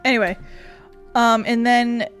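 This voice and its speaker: A woman's voice: fairly high pitch, flat delivery, measured pace, a bit bright, soft background music. A woman streamer giving casual, chatty gameplay commentary, swearing and talking to herself.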